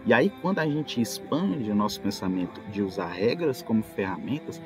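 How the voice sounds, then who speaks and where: A man with a Brazilian accent in casual podcast chat, telling things in an animated way with rhetorical questions. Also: on the low side, moderate pace. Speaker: tabletop role-player, podcast speaker. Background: music.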